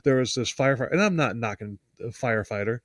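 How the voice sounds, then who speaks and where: A man's voice: mid-range voice, animated, fast, no room sound, studio quality. A man from the United States co-hosting a podcast, talking casually in a rambling, emphatic way that often restarts sentences. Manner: rambling, self-interrupting, emphatic. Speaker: podcast co-host. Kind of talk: casual podcast chat and true-crime storytelling.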